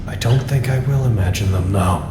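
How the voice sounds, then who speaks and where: A man's voice: fairly low pitch, fairly quick, very noisy, close to an everyday voice. Man playing an anxious, wry office worker. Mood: wry, reflective. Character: anxious, earnest office man recording confessional reports to his superiors. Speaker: lead actor in an audio drama. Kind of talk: audio drama monologue, intimate log narration.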